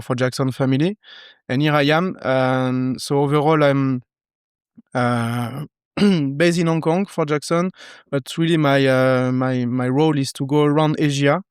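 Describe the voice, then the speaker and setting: A man from southern France speaks English with a French accent, thoughtful and unhurried, halting over his words. His voice is smooth and resonant, recorded close and dry with no room around it. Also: medium pitch, dry recording. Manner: relaxed, thoughtful, halting with many fillers. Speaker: guest, wine professional. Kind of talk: casual interview talk.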